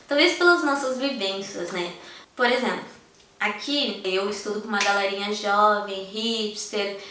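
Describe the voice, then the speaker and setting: A woman's voice: medium pitch, measured pace, background noise. A young Brazilian woman with a Brazilian Portuguese accent, vlogging frankly and with passion, talking straight to her viewers. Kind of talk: candid personal vlog. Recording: very echoey room, a bit far from the mic.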